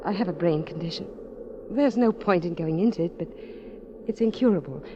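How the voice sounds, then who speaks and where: 1940s radio drama: actress as married woman climber, low voice, earnest and desperate, muffled noisy recording. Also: lively intonation, a little fast, close to an everyday voice. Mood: urgent, confessing.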